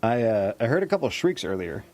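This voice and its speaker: An actor playing a cautious, worried man surviving on the road in an audio drama, with a husky voice and wary, questioning delivery. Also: fairly low pitch, sing-song, fast talker, a little mellow, a little hiss or hum. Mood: concerned, curious. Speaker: supporting lead actor. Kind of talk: naturalistic audio drama dialogue.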